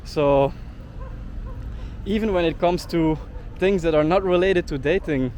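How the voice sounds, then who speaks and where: A young man rants to camera in an indignant vlog monologue, leaning on "like" as filler. His voice sits a little on the high side. Faint traffic hums in the street around him. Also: measured pace, darkish tone. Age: young adult. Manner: indignant, heavy use of "like" fillers. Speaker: travel vlogger.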